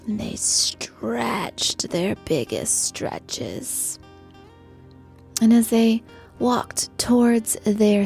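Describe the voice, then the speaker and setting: A woman narrating a children's bedtime story as a guided meditation, in a gentle, soothing, hushed manner. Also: on the high side.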